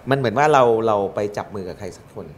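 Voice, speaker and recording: A middle-aged man, a studio talk show guest, explaining with lively analogies and rising emphasis. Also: lowish voice, quick pace, crowd noise. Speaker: guest, trade chamber secretary.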